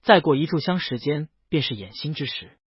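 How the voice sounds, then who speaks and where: A man's voice: on the high side, expressive, brisk, breathy edge, no room sound, dull audio. A man reading as a composed female immortal. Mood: calm.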